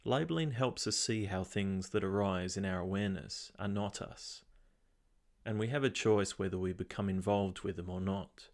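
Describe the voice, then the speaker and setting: A man narrating a guided meditation as its guide, giving calm, measured instructions with long pauses. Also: deep voice.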